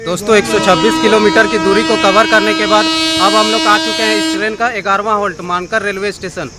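A man's voice: fairly even intonation, fast, over loud music. A man narrating his train journey for a travel vlog, listing station facts as he goes. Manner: informative, reels off station facts. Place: inside a moving train. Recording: loud train noise behind. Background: train rumble.

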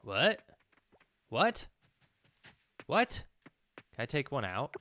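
A man's voice: mid-range voice, big pitch swings, drawn-out words, sharp tone. A man in his thirties giving casual, rambling game commentary, thinking aloud as he plays. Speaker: gaming video host.

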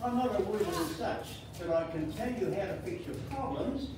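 A woman's voice: medium pitch, lively intonation, a little fast, lightly acted. Woman playing a grumpy wizard, very echoey room. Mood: brusque. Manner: exasperated, blunt. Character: grumpy, exasperated wizard who snuck out for a smoke. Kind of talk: amateur pantomime, read from script. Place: care home common room, indoors. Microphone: far camera. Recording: echoey room, distant camera, noisy audience.

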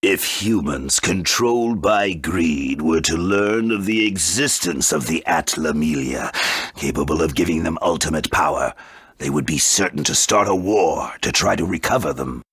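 Game arch-villain: rock-bottom pitch, raspy, grandiose, menacing, deliberate. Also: male voice, expressive, a bit bright, dry recording, full character voice. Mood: ominous. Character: grandiose dark male villain bent on wiping out the world. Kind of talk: video game voice acting.

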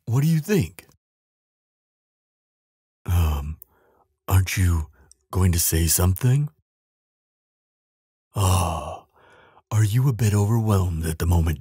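A huge, tender giant acted out by a man with a very deep, heavily husky voice. He speaks slowly and soothingly, doting on the listener as in an intimate audio roleplay. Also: expressive, warm tone, no room sound, clean studio sound, exaggerated voice. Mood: tender, caring. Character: huge gentle male giant, tender and caring. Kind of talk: audio roleplay acting, softly spoken to the listener.

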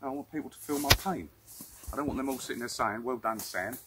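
A quiet-spoken man outdoors on rural land, dry and grumbling in tone. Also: mid-range voice, fast. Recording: distant voice outdoors with loud noise.

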